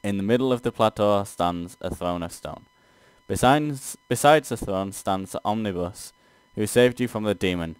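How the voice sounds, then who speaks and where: Low-voiced man reading a game aloud. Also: normal speed, clear, resonant tone, no echo. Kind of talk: let's play read-aloud with casual reactions.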